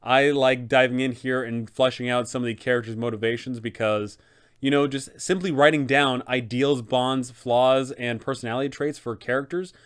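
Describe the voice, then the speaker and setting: A man's voice: medium pitch, steady tone, normal speed, smooth. A young adult man hosting an explanatory tabletop game video, speaking in an enthusiastic, emphatic way. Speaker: host, game master advisor. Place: small room indoors, seated.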